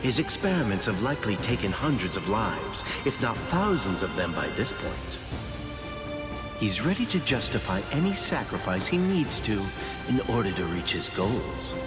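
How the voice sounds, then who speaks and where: A man's voice: fairly low pitch, sing-song, normal speed. An actor playing a sly, playful man in an anime game, teasing and drawling with mock innocence and theatrical curiosity. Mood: sly musing. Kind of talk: anime video game voice acting. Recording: music behind. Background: background music.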